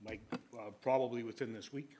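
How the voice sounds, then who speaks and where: Older man, applicant at a council hearing, plainspoken, sometimes booming. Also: steady tone, fairly quick, warm tone, breathy edge. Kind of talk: public hearing presentation. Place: council chamber. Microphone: podium microphone.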